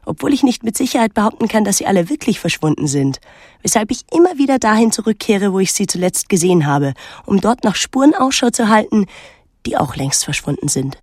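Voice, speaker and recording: A young actress playing a pensive, detached teenage daughter, narrating a literary radio drama in a measured, introspective way. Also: female voice, mid-range voice, very animated, darkish tone, dry recording. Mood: pensive, haunted.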